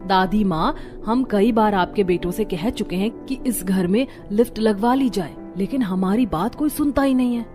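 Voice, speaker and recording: A woman playing an overworked, aggrieved young daughter-in-law in a cartoon family drama, speaking in an expressive, complaining way. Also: medium pitch, normal speed, hardly any pauses, music in the background, natural voice.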